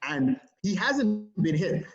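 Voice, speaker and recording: A man co-hosting a casual sports podcast, animated and laughing often, with plenty of fillers. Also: high-pitched, takes it slowly, dull audio. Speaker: podcast co-host.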